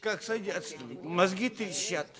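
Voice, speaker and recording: A weak-willed peasant husband deep in drink and despair. His high male voice stammers and repeats itself with raw emotion, in the manner of a radio drama. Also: unhurried, a crowd in the background. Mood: timid, tearful. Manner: stammering, emotional, slurred repetitions. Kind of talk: Russian radio drama acting.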